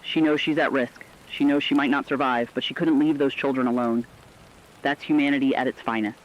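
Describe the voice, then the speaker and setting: Dull lo-fi radio sound, mid-pitched male co-host, acted by a man, concerned, compassionate, earnest apocalypse radio drama commentary. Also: fairly even intonation, fairly quick, close to an everyday voice. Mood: somber concern. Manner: earnest, emotive, humane.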